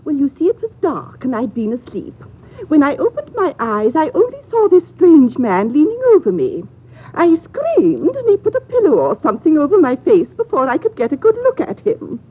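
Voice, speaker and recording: A woman's voice: sits high, wildly swooping pitch, normal speed. An actress playing a flustered older wealthy lady in a 1950s radio drama. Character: older wealthy lady robbery victim, fussy. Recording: tinny noisy 1950s radio broadcast.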